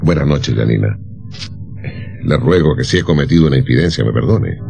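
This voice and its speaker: A shrewd avuncular impresario, radio play, really deep voice that swings around a lot. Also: male voice, expressive, brisk, creaky, over music, exaggerated voice. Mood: apologetic. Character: shrewd, tactful businessman, male impresario of a musician. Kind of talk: old radio drama acting.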